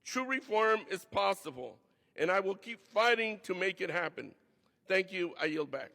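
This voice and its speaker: A man's voice: sits high, lively intonation, normal speed, creaky, pushed and bright. A man, a congressman, closing a formal floor speech in an earnest, resolute tone. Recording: long reverb of a large chamber.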